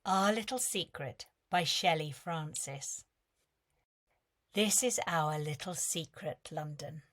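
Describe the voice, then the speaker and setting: A woman reading a prose letter aloud in a measured, expressive storytelling manner. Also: deep voice. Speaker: reader of a competition entry.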